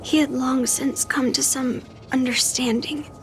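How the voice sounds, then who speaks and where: High-voiced, earnest young swordswoman. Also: steady tone, a little hiss or hum. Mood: reflective, tender. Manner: earnest, thoughtful, measured. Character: young female sword-hunter, earnest, reflective. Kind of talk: dramatic game dubbing. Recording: music under the voice. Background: music.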